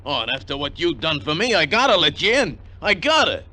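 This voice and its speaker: Pushy, high-strung big ex-sergeant. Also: male voice, very animated, a little fast, bright, forceful tone, heavily acted. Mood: pleading, insistent. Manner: insistent, pleading. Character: huge, pushy, needy ex-army sergeant, overeager pal. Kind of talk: 1950s radio drama acting. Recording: vintage 1950 radio recording.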